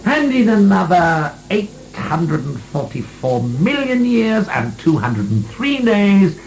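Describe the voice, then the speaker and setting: Wildly swooping, imperious imprisoned marquis. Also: male voice, high voice, sing-song, slow pace, creaky, faint music behind, heavily acted. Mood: gleeful, ranting. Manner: theatrical, grandiose, chuckling, swinging into shouts. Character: imprisoned French marquis, ranting, mocking, obscene, imperious libertine. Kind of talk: radio drama acting. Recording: echoey stone-room radio drama.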